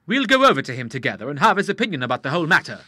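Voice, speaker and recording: A man's voice: a little high, very animated, very fast, bright, forceful tone, dry recording, heavily acted. A man voicing an earnest, plain-spoken young man who is suspicious, in an audiobook. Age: young adult.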